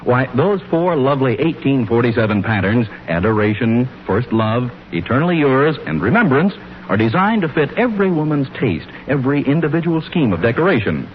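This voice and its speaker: A man's voice: mid-range voice, big pitch swings, a little fast, a little hiss or hum. A man with an American accent announcing in 1940s radio style, with polished, enthusiastic sales patter. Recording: muffled old radio broadcast, music behind.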